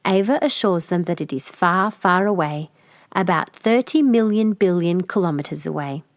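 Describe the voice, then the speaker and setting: A woman's voice: lowish voice, normal speed, no room sound. A woman reading a children's picture book aloud as a scripted narration. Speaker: storybook reader.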